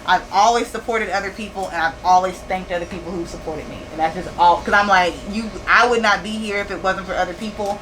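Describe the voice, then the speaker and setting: A woman's voice: mid-range voice, quick pace, hardly any pauses, fairly bright tone, slight background noise, low-quality recording. A woman in her thirties, a business owner talking candidly in a casual interview, often repeating phrases and laughing easily. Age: 30s. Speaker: store owner interviewed as guest.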